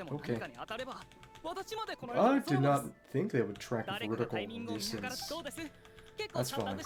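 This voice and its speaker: A man streams a game with casual commentary, thinking aloud in short, halting bits. His pace is unhurried, while his pitch moves around in a lively way. Faint music plays along behind him. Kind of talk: casual solo gameplay commentary.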